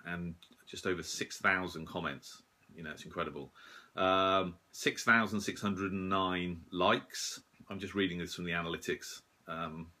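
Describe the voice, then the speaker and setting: A man in his forties giving a casual, modest, hesitant update to camera in a small room, sounding slightly rough and husky after a late night. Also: low-pitched, bright, forceful tone. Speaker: shortwave radio hobbyist and video host.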